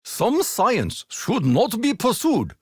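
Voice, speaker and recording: A stiff, honor-bound Japanese imperial soldier, speaks in formal game voice lines with a Japanese accent. His pitch leaps and plunges all over, sometimes within a single sentence. The recording is close and dry, with no room around it. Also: wildly swooping pitch, takes it slowly, husky, no room sound, full character voice. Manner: formal, stiff phrasing with sudden comic deflation.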